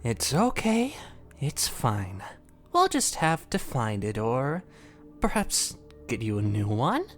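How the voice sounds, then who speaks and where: A woman's voice: very deep voice, wildly swooping pitch, slow, faint music behind, heavily acted. A woman voicing a playful, wicked male jester who speaks in a sing-song, teasing, theatrical way.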